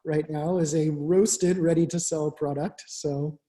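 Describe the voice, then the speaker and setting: A webinar host speaks on a video call with a mellow, warm-toned voice. The host asks questions in a loose, casual way. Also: medium pitch, steady tone, measured pace. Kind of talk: webinar interview.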